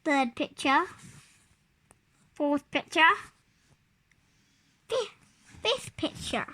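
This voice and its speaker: Young child reading slowly, high-pitched. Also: animated, pushed and bright. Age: 5-year-old child. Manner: halting, word-by-word early reader. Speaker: child reader. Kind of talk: reading a picture storybook aloud.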